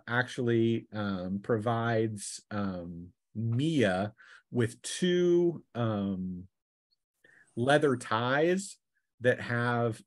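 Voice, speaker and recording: A man working as game master, narrating a tabletop fantasy session in a halting way, thinking aloud as he goes. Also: fairly low pitch, no echo.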